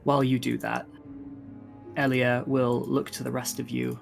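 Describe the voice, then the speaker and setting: A woman narrating a tabletop roleplay game as game master, in a hesitant, halting way. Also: rumbling low, flat delivery, gravelly, soft background music.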